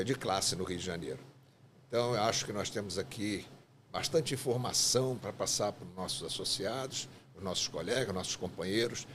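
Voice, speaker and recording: A man's voice: lowish voice, fairly bright tone, slight background noise. A man hosting a podcast interview, introducing his guest in long, rambling sentences with hesitations. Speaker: podcast host, association president.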